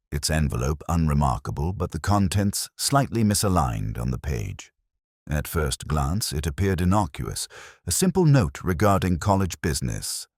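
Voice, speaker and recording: A man reading a scripted first-person detective story in a measured, formal, literary manner. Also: a bass voice, no echo, studio quality. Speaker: narrator.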